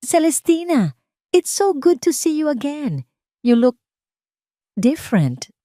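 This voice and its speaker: Woman acting as catty female rival, jealous, fake-sweet, gushing, raised pitch, audio drama. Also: sing-song, slow pace, mellow, dry recording, clean studio sound, heavily acted. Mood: catty sweetness.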